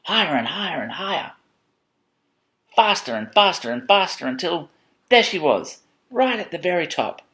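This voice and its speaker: A man reading a children's picture book aloud in an expressive, playful way, with chatty asides to a child. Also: medium pitch. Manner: expressive, playful, dramatic storybook emphasis. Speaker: parent reading a bedtime story to a child.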